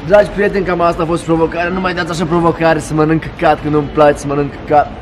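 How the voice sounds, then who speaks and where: A young man with a Romanian accent, talking excitedly to his viewers during a challenge vlog. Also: a little high. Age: young adult. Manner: loud, excitable, addresses viewers directly. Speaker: vlogger. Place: outdoors at night in a snowy street, then inside a shopping mall. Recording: noisy street background.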